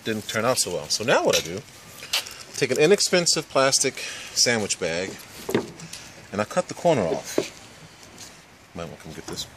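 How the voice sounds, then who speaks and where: A man in his forties giving a casual step-by-step how-to demonstration in a backyard, with relaxed fillers. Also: on the low side, moderate pace, pauses often, background noise. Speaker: hobby boatbuilder. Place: backyard outdoors. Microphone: camera mic, handheld camera nearby.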